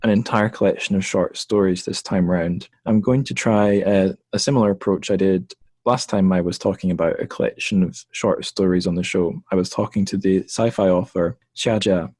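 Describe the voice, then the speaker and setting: A young man hosts a podcast interview in a friendly, self-deprecating way. His voice is very deep, and it is recorded close and dry, with no room sound. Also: steady tone, normal speed, a little mellow, no room sound. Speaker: podcast host. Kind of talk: conversational interview.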